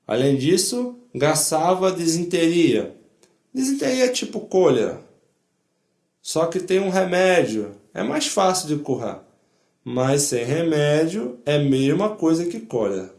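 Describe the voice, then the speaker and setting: An older man with a foreign accent in Portuguese, giving spoken testimony in a deliberate, emphatic way with short repeated phrases. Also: fairly high pitch, darkish tone, roomy sound. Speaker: Holocaust survivor giving testimony. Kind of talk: spoken first-person testimony to an audience.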